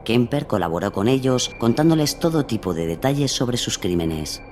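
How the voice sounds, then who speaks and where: A man's voice: mid-range voice, lively intonation, moderate pace, a little mellow, soft background music, lightly acted. A man narrating a true-crime radio drama as a scripted documentary account.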